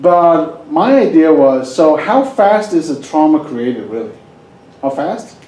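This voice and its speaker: A man's voice: fairly high pitch, lively intonation, slow, mellow, reverberant, poor audio. A man from Denmark with a Danish accent, presenting a live hypnosis seminar and joking with the audience. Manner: jokey, asks the audience questions.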